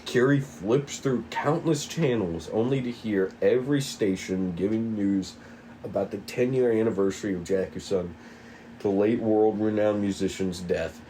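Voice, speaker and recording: A man's voice: lowish voice, moderate pace, mellow, slight background noise, poor audio. A man reading stage directions aloud as the narrator of a table read.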